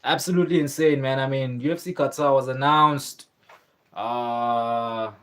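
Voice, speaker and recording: A man's voice: mid-range voice, fairly even intonation, a little slow, fairly bright tone, smooth. A young man hosting a livestream, chatting casually and rambling with many fillers and restarts. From South Africa. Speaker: livestream host. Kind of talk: casual livestream chat about fights.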